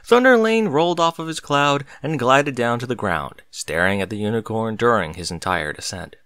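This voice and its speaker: A man reading a story aloud as narrator, in an expressive scripted narration style. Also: mid-range voice, normal speed, clear, resonant tone, no room sound, studio quality.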